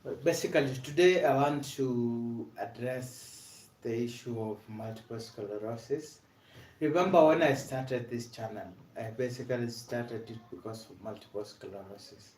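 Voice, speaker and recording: Young Kenyan man, earnest vlog talk, mid-pitched, halting delivery, roomy echo. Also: darkish tone. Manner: earnest, repeats "basically" and "uh", frequent restarts. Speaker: vlogger with multiple sclerosis. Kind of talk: personal awareness vlog, storytelling. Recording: echoey room.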